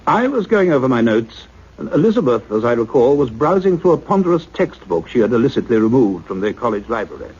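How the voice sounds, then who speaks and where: A man's voice: mid-range voice, sing-song, fast, darkish tone, breathy edge. An actor playing an earnest male scientist, speaking in a precise, formal radio-play manner. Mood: measured, grave. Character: earnest male scientist doctor narrating his investigation. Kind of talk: radio play acting and narration. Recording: dull, lo-fi radio drama recording.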